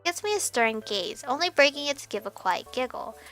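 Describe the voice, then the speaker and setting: A young woman reading a visual novel aloud as a let's player, expressive and animated, with music behind her. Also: high-pitched, brisk.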